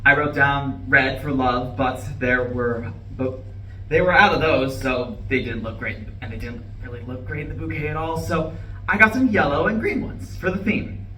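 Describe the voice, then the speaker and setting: A man's voice: medium pitch, normal speed, sharp tone, close to an everyday voice. A man in a live play reading, playing a nervous, self-justifying husband who rambles and corrects himself. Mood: nervous, defensive. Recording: echoey distant live room recording, faint noise.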